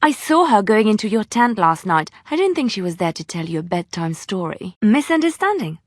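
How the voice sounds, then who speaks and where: Romantic young bard, closely recorded. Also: female voice, medium pitch, very animated, fairly quick, dry recording, clean studio sound. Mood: flustered, accusing. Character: romantic, devout young woman bard, easily flustered. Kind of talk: video game character dialogue and storytelling.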